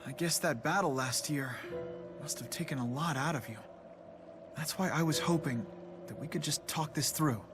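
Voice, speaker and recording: An actor playing an earnest, kind, gentle young man in a fantasy adventure game, polite and sincere in his delivery. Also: on the high side, normal speed, close to an everyday voice. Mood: regretful. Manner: polite, sincere, soft-hearted. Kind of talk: video game cutscene voice acting. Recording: game music behind the voice.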